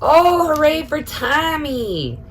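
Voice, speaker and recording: A woman's voice: sits high, sing-song, slow pace, voice pushed up, full character voice. A woman voicing a hearty father leading his family on a hike in a children's storybook, speaking emphatically. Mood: celebrating. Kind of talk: children's storybook read-aloud. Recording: noticeable background noise.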